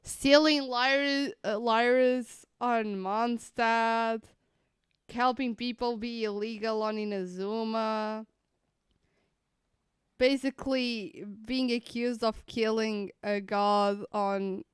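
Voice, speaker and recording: A woman streamer chats casually over a game. Her voice is on the high side and very smooth, and she takes her time. The sound is close and dry. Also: fairly even intonation, slow, no echo.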